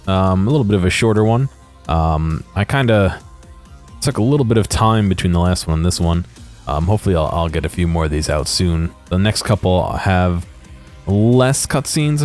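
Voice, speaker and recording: A man giving unscripted commentary on video game footage in a hesitant, rambling, low-key way.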